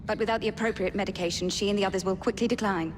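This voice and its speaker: A woman playing a brisk Victorian nurse. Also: medium pitch, fairly even intonation, noisy background, lightly acted. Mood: urgent. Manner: brisk, proper, businesslike. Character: composed Victorian nurse, middle-aged woman. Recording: game cutscene with music and long reverb.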